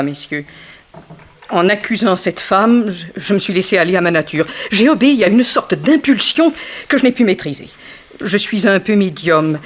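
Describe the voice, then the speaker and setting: Casting: woman playing embittered former dancer, sharp tongue, highly animated delivery, insinuating, vintage French radio mystery. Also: lightly acted. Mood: composed, mysterious. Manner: dramatic, insinuating, swinging from slow emphasis to outbursts. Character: bitter, theatrical ex-ballerina, sharp-tongued goddaughter of the victim. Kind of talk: French 1960s radio detective drama acting.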